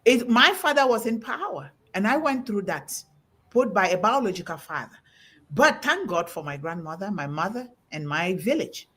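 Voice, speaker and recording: Husky, animated woman preaching with emphasis. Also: medium pitch, moderate pace. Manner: emphatic, quotes scripture with rising emphasis. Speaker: host. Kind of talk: preaching-style talk on a panel.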